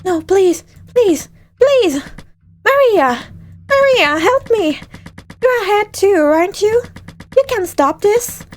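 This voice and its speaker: A woman's voice: very high voice, expressive, takes it slowly, long pauses, pitched above the performer's own voice, full character voice. A woman voicing a desperate, begging young outcast woman in a visual novel read-aloud. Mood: desperate pleading. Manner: frantic, begging. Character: desperate, pleading young woman cast out of her community.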